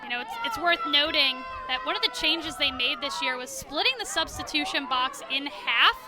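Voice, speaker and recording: A woman's voice: sits high, moderate pace. An American woman giving conversational, explanatory color analysis during a live sports broadcast. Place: outdoor stadium broadcast position. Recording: crowd and music behind, outdoors. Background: crowd and music.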